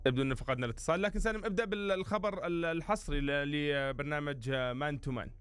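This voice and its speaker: Guy doing football radio, super quick, crisp smooth voice. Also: a little high, fairly bright tone, no echo, faint music behind. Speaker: sports radio co-host. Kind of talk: live football talk and news.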